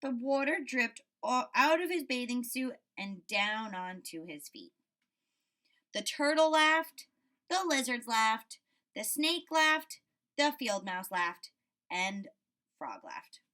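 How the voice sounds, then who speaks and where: A woman teacher reading a story aloud to children and commenting on it, expressive, often checking in with her listeners. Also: a little high, slow pace. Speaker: school teacher.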